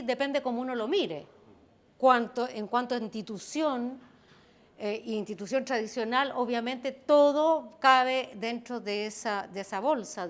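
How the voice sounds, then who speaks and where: A woman with a Chilean accent and a strong voice, speaking on a discussion panel and carefully qualifying her points. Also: a little high. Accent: Chilean Spanish. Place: event hall.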